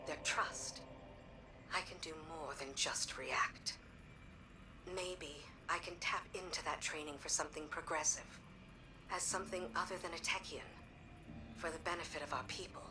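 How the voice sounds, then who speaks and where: Low-voiced, stoic soldier. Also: female voice, far from the mic, noisy background. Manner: restrained, earnest. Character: stoic woman soldier, loyal, earnest, self-doubting. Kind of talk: video game voice acting. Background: music.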